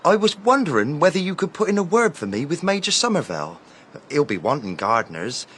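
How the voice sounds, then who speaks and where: A man's voice: a little high, very animated, fairly quick, a bit bright, a little hiss or hum. A man playing a young, respectful gardener with rustic, deferential phrasing in a British radio drama. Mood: eager, hopeful. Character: eager, respectful young male country gardener.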